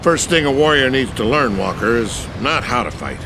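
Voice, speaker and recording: Creaky, stern American admiral. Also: male voice, medium pitch, lively intonation, normal speed. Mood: firm, commanding. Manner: blunt, commanding, sardonic. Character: stern, gruff older male US Navy admiral. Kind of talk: war game cutscene acting. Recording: noisy ambience behind.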